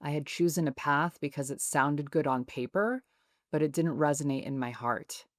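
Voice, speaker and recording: A woman in her thirties, a guest author speaking in a calm, soothing, measured way in a reflective interview. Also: sits low, no echo, clean studio sound.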